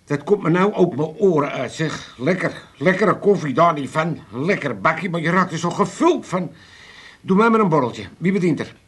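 An actor playing a chummy, wheedling drinker in a comic radio drama. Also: male voice, a little high, expressive, normal speed, slightly rough. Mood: chummy, cajoling. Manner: rambling, wheedling, indignant when refused. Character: wheedling, thirsty male pub drinker, chummy.

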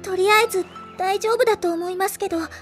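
Devoted young maid: high, bright, deferential yet insistent, anime audio drama style. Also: female voice, steady tone, fast, soft background music. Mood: worried, fussing. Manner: polite, emphatic, attentive servant speech.

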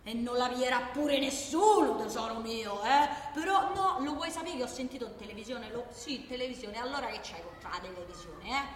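An actress as a high-pitched, feisty Roman working woman. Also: lively intonation, brisk, few pauses, lightly acted. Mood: indignant. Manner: exasperated, repetitive, colloquial, badgering. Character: feisty working-class woman arguing for high-speed rail. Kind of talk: theatrical radio drama monologue. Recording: distant, echoey hall with noise.

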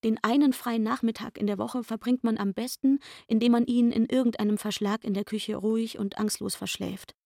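A woman's voice: fairly high pitch, fairly even intonation, a little fast, gravelly, hardly any pauses, warm tone, no echo, clean studio sound. An actress playing an aggrieved young chambermaid in a literary radio play, insistent and indignant as she pours out her complaints.

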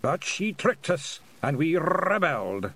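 A solemn little Scottish pixie bard, speaks with courteous pride. His Rs roll richly and his words come out slow and careful, lifted high and swinging widely in pitch. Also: big pitch swings, a bit bright, exaggerated voice. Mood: guarded, solemn. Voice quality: rolled drum-roll Rs. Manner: clear, slow, careful speech. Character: small clan bard, polite, solemn, proud pixie man.

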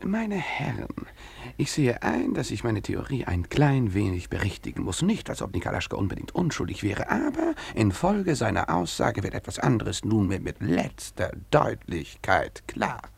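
An actor playing a zealous, bossy, pompous police investigator in a 1950s German radio drama. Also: male voice, fairly low pitch, very animated, normal speed, creaky, slightly rough, dry recording, exaggerated voice. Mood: smug deduction. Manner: barking, pompous, interrogating.

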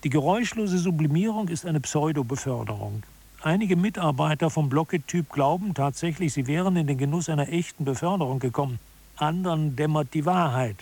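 A self-assured expert of absurd office science in a radio play. The voice is fairly high for a man and noticeably husky. He explains everything gravely, like a mock scholar giving instruction. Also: darkish tone. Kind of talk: scripted audio drama lecture.

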